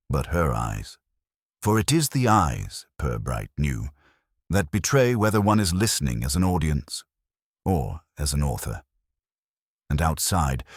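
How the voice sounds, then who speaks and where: A man narrating a period mystery story in a measured, literary manner. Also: very deep voice, no room sound, clean studio sound.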